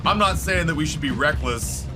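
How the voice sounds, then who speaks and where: A hoarse man chatting casually with his viewers while streaming a game, thanking supporters and cursing at setbacks. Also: a little high, expressive, normal speed, over music. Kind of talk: live gameplay commentary.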